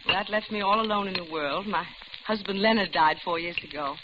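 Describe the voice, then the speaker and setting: An actress playing a talkative, genteel, uneasy older widow in the style of 1940s radio drama. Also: female voice, mid-range voice, a little fast, natural voice. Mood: concerned, wistful. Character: chatty, uneasy older widow, diner customer. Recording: noisy, dull vintage radio recording.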